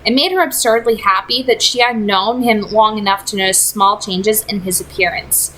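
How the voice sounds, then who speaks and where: A woman's voice: mid-range voice, normal speed, sharp tone, slight background noise, rough recording. A woman reading fiction aloud as a storyteller, in scripted narration. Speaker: audiobook-style reader.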